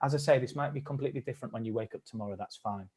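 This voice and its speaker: A man's voice: medium pitch, rapid-fire, a little mellow, breathy edge. A man leading an online acting workshop, encouraging and upbeat as he coaches the participants. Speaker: workshop leader. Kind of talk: live-streamed acting workshop.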